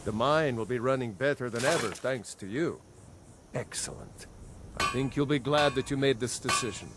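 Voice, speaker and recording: An actor playing a gruff, businesslike townsman in a fantasy video game, speaking plainly and deliberately. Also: mid-range voice, noisy background, lightly acted. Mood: businesslike, appreciative. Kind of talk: video game character dialogue.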